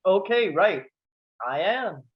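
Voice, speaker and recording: A woman's voice: mid-range voice, animated, takes it slowly, lo-fi sound. A woman in a comedy table read playing a strict, exasperated male flight attendant with a firm, officious manner.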